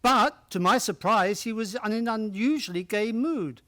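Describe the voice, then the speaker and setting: Man acting as chatty old gentleman, high-pitched voice, courteous, old-fashioned radio drama storyteller. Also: moderate pace. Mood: storytelling.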